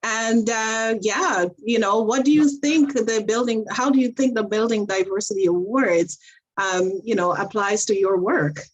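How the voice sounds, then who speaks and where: A woman's voice: fairly high pitch, a little slow, slightly rough, muffled recording. A woman moderating a panel in an encouraging, affirming manner.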